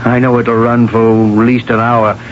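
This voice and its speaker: An actor playing a jovial but evasive middle-aged construction boss who blusters and stammers through fillers, in a 1970s radio drama. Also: male voice, mid-range voice, flat delivery, normal speed, close to an everyday voice. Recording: dull 1970s radio broadcast with faint hiss.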